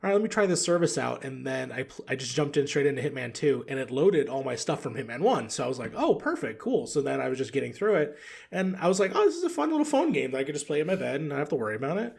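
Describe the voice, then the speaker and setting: A man chatting casually as a podcast guest, telling rambling stories in slangy language with a gravelly, husky voice. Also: mid-range voice, big pitch swings, quick pace, darkish tone. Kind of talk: casual gaming chat.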